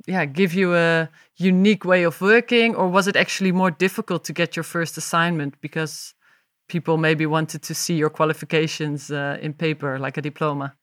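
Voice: mid-range voice, fairly bright tone, clear, resonant tone, no echo, clean studio sound. Interviewer asking long, probing questions.

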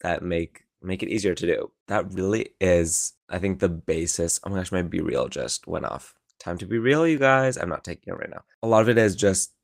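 Young male podcast host, croaky morning voice, deep and dry, chatty and encouraging. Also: expressive, normal speed, hardly any pauses, no echo. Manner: rambling, filler-heavy, encouraging. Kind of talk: casual solo podcast chat. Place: his own room at home.

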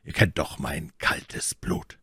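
A man voicing a hushed, cool-headed musketeer. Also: takes it slowly, dry recording, studio quality. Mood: calm, guarded. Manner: hushed, calm, terse. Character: cool-blooded male musketeer, secretive and resolute.

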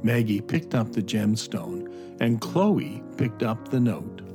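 Slow, dramatic male storyteller over music. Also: on the low side, lively intonation. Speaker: narrator. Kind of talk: scripted children's story narration. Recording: narration over clear background music.

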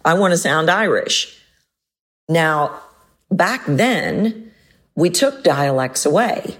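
A middle-aged woman with a Texan accent, hosting a solo podcast as a voice coach, leaping up in pitch to stress words and slowing on key points. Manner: expressive, leaps up in pitch for emphasis, slows on key points.

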